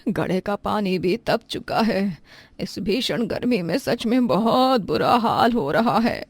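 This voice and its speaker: Female narrator as meek Indian-family matriarch, older, affectionate and imploring, lively pitch movement. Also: takes it slowly, dry recording. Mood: pleading. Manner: pleading, affectionate, self-effacing. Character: meek, kindly, thirsty elderly mother-in-law.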